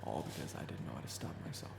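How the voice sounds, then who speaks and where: A man playing a weary boss with a buried temper in a dramatic audio roleplay, gravelly and brooding. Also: fast talker, reverberant, noisy background, lightly acted. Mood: brooding, resigned. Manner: brooding when narrating, exasperated in dialogue. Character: weary reformed hot-tempered man, a soft-pushover boss. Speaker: narrator and lead character.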